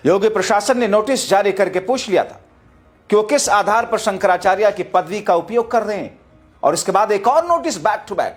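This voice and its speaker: High-pitched man, emphatic news commentary. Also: lively intonation, moderate pace. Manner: emphatic, rhetorical, often addressing viewers as friends. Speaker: news commentator presenting to viewers. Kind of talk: dramatic political news narration. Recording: music bed behind the voice. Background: background music.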